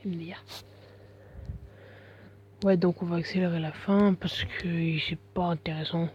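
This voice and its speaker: Male gameplay commentator, congested from a cold, breathy, slangy and offhand. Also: fairly high pitch, level tone, slow, a little mellow, lo-fi sound. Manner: mumbling, slangy, full of fillers. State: has a bad head cold. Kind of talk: casual game commentary.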